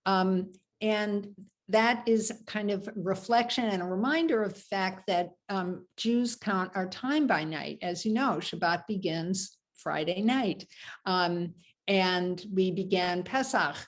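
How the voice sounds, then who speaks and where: A woman's voice: mid-range voice, slow pace. American woman rabbi teaching, rambling with ums. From United States. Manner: warm, meandering teaching with many ums and asides. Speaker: rabbi teaching Torah study. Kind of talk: informal Torah study over video call.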